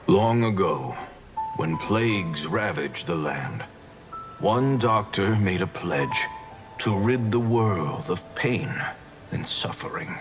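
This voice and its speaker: A grave storyteller telling an old legend in a game, lowish, really slow, music behind. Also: male voice, lively intonation. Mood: solemn. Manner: grave, deliberate. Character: solemn male storyteller of ancient legend. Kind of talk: scripted legend narration in a game. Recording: narration over clear background music.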